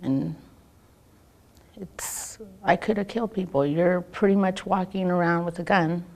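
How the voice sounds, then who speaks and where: Lower-pitched American woman, candid and halting. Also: fairly even intonation, gravelly, darkish tone. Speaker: news interviewee. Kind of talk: candid conversational interview.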